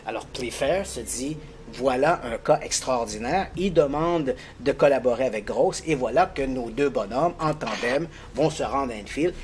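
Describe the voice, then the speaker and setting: A middle-aged man explaining a strange case as a guest, telling it like an animated storyteller who chuckles easily. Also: moderate pace, a little hiss or hum. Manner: animated, lively storyteller with frequent pitch jumps. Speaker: guest expert on paranormal cases.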